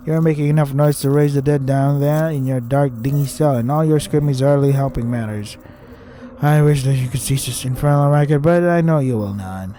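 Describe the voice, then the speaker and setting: A man's voice: medium pitch, mellow, slight background noise, lightly acted. A man voicing a scornful visual novel narrator who taunts a captive in a wordy, haughty, sarcastic way.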